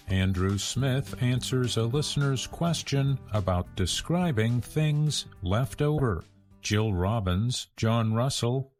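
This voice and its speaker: An American man introduces a radio programme from a script. His mid-pitched voice moves very slowly and carefully from phrase to phrase, with music playing behind him. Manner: clear, careful enunciation for learners. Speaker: programme host.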